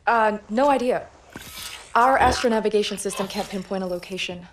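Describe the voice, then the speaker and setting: A woman playing an eager, analytical scientist aboard a starship in a video game, speaking briskly. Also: mid-range voice, slight background noise, natural voice, low-quality recording. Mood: puzzled, intent. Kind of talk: cinematic video game acting.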